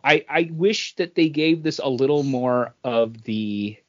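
A man's voice: mid-range voice, a little slow, dry recording. A man co-hosting a podcast, giving his take on films in casual conversation. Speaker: podcast co-host. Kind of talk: casual movie review chat. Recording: dull, lo-fi, dry close sound.

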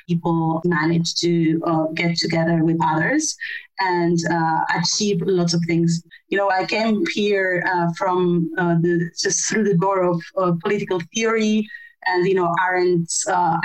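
Thoughtful woman from Argentina, lower voice. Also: rough recording. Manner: thoughtful, many fillers and restarts. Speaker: podcast guest, civil society researcher. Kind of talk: conversational interview answer.

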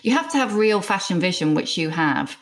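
A woman's voice: fairly low pitch, big pitch swings, brisk. A woman hosting a chat show, talking in a conversational, gossipy way. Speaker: host. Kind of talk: casual interview chat.

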